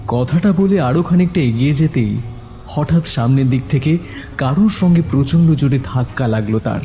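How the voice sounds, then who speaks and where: A man's voice: medium pitch, lively intonation, normal speed, warm tone. A man narrating a scripted horror story in a suspenseful storytelling style. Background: background music.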